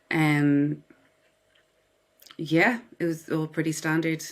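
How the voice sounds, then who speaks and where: A woman's voice: low voice, fairly bright tone. A woman from Dublin with an Irish accent, giving a personal account as an interview guest. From Dublin, Ireland. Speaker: podcast guest, writer and psychotherapist.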